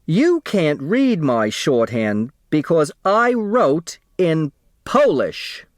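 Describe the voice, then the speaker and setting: A man voicing a shrill, self-pitying woman secretary in an audiobook, given to indignant, theatrical outbursts. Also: big pitch swings, very slow, no room sound, clean studio sound, heavily acted. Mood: wounded, defiant.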